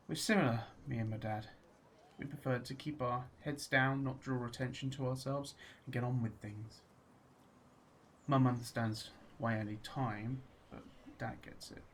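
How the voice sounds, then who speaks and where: A man's voice: medium pitch, a bit bright, a little hiss or hum, close to an everyday voice. A man acting an introverted young man who muses alone in a confiding, hesitant way in a radio drama monologue.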